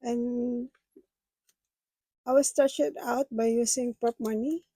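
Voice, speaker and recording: A woman whose first language is Filipino talks to her viewers in a slow, unhurried way, often stopping and restarting her sentences. Her voice sits a little high but sounds mellow and breathy. The recording is close and dry, with almost no room sound. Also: fairly even intonation, no echo. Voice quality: halting, hesitant phrasing. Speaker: budgeting vlogger. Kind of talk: casual chat with viewers.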